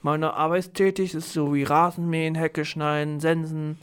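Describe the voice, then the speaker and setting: A man co-hosting a podcast, putting prepared questions and speaking in simple, deliberate phrases. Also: a little high, little pitch movement, smooth. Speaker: podcast co-host.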